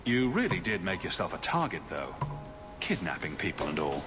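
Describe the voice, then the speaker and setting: A wry, hard-nosed male fantasy hero in a video game. The voice is fairly low and lively in its inflection, dry and cool, with soft music behind it. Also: moderate pace, echoey room. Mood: dry, probing.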